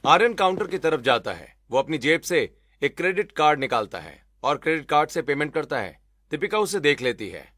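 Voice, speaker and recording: High-voiced man narrating a cartoon story. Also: big pitch swings, fairly quick, fairly bright tone, dry recording, clean studio sound.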